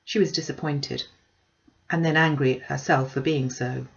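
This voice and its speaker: A woman's voice: sits low, fairly even intonation, normal speed, vocal fry, lo-fi sound. A woman reading a classic novel aloud as scripted audiobook narration.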